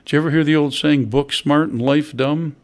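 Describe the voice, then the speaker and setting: A man's voice: a little high, fairly even intonation, fairly quick, darkish tone. Older man, pastor, sermon, church hall pulpit, stand mic, rhetorical questions. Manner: conversational preaching with rhetorical questions.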